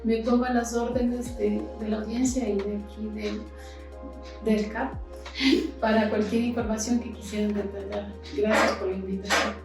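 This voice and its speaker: A woman's voice: mid-range voice, level tone, measured pace. A woman whose first language is Kichwa, a guest engineer introducing herself formally and carefully. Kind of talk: self-introduction. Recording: echoey room, distant mic, faint music.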